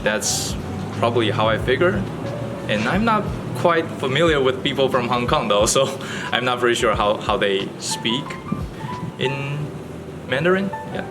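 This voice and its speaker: A man from Taiwan answers a street interview, halting and correcting himself as he goes. His pitch is middling, and music plays under him. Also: lively intonation. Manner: halting, self-correcting, with many "like"s.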